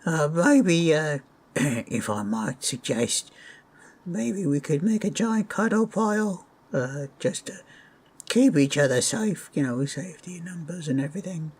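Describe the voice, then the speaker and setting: A man voicing a small, awkward, shyly affectionate goblin in a fantasy roleplay, hedging and rambling with a raspy voice. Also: fairly high pitch, expressive, measured pace, darkish tone. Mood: sheepish. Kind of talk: fantasy roleplay audio acting.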